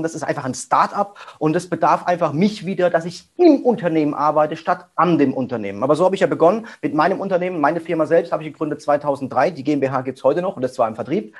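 A man's voice: a little high, fast, hardly any pauses. A middle-aged man from Germany, a guest entrepreneur talking casually and energetically in an interview, full of business buzzwords.

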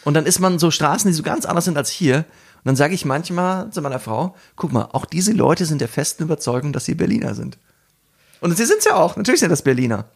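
A man's voice: on the high side, very animated, fast, creaky, husky. A man in casual conversation, rambling in loose fragments.

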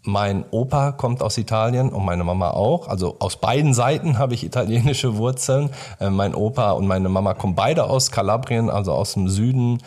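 A man's voice: on the low side, moderate pace, few pauses, darkish tone. A young man from Germany chatting casually as a podcast co-host, in a colloquial, slangy and enthusiastic way. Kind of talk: casual chat.

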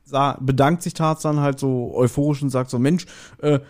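A man hosting a podcast, casually retelling a story in an animated way and acting out short quotes. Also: mid-range voice, normal speed. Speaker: podcast host. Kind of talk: casual chatty episode recap.

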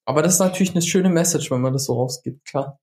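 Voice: medium pitch, fast, a little mellow. Enthusiastic podcast host with a mid-range voice. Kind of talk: casual interview chat.

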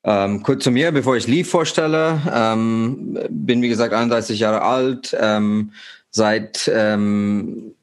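A man in his thirties from India, a startup founder talking as a podcast guest with many pauses and fillers. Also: on the low side. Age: 30s. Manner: hesitant, many fillers and restarts. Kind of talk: podcast interview.

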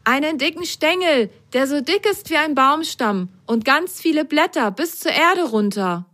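Storybook boy sock, high eager kid voice, quick to grumble, a woman puts him on. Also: lively intonation, bright, forceful tone. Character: excitable little boy sock, a bit grumpy.

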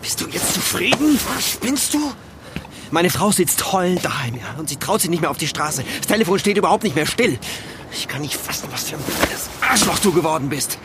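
An actor in an audio drama playing an arrogant, contemptuous, well-off husband who talks in a scornful, taunting way. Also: male voice, fairly high pitch, big pitch swings, brisk. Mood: outraged. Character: arrogant, contemptuous wealthy husband spitting insults at an old friend. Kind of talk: German audio drama acting. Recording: clear noise, outdoor urban ambience.

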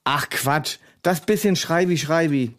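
A man voicing a dim, sulky sidekick in a comedy podcast, high and quacky, grumbling and belittling. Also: unhurried, fairly bright tone. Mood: sulky, dismissive. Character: dim, sulky, dismissive male sidekick with a squawky voice.

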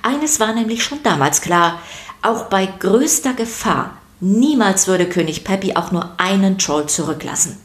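A woman reading scripted children's story narration in an expressive, playful way. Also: medium pitch, a little slow.

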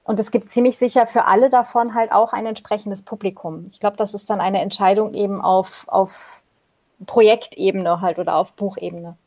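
A woman's voice: mid-range voice, steady tone, normal speed, darkish tone. A woman explaining her ideas at length in a casual discussion, with frequent fillers and restarts. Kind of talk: casual discussion about audiobook publishing.

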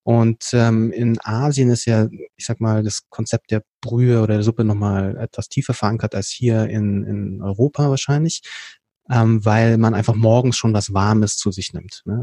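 Low, mellow man with a hesitant delivery. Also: no room sound. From Berlin, Germany. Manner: explains at length with frequent hesitation fillers and restarts. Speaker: podcast guest, company co-founder. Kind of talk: casual conversational storytelling.

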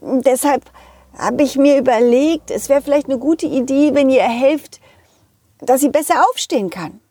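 A woman's voice: sits high, unhurried, warm tone, no echo. A woman voicing a confident, loyal male dog in a children's story, encouraging, eager and friendly. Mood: friendly, planning.

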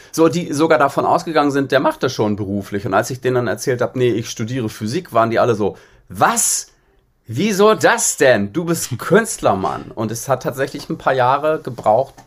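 A man from northern Germany speaks as the guest in an interview. His voice sits at a middle pitch. He talks conversationally, explaining his thoughts in a reflective manner. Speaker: interview guest, singer-songwriter.

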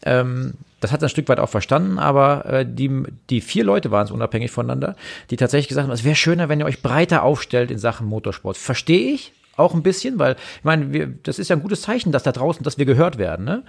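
A man co-hosting a motorsport podcast in casual chat, rambling and animated with many fillers. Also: medium pitch, fast talker, vocal fry. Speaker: podcast co-host, former racing driver.

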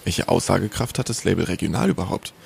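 A man's voice: low voice, quick pace, creaky, a little mellow, slight background noise. A man hosting a podcast with scripted narration, chatty and fond of posing rhetorical questions.

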